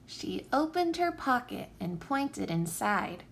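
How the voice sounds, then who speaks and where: A woman reading a picture book aloud for young children with expressive, deliberate phrasing. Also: fairly high pitch, gravelly. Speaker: children's story reader, teacher.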